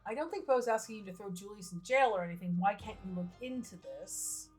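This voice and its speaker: A woman co-hosting a game livestream, casually commenting and reading choices aloud in a wry, expressive way. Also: medium pitch, fairly quick, faint music behind. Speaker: livestream co-host playing a story game.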